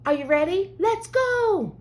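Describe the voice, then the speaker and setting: A woman reading a picture book aloud to young children in a playful, expressive way with drawn-out phrasing. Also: very high voice. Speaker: children's storyteller.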